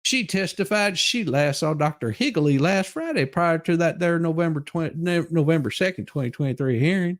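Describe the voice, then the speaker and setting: A man's voice: a little high, animated, moderate pace, hardly any pauses. A man giving a comedic dramatic reading of a legal document, theatrical and folksy, with mocking asides. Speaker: streamer performing a dramatic reading.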